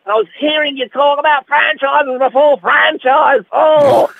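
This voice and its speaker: Very high, creaky gushing showbiz gossip. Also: male voice, a little slow, narrow, tinny audio, slight background noise, heavily acted. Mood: opinionated, cheeky. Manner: theatrical, cooing, excitable. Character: gushing, flamboyant, giggly male showbiz gossip reporter. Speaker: entertainment reporter. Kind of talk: comic radio character interview.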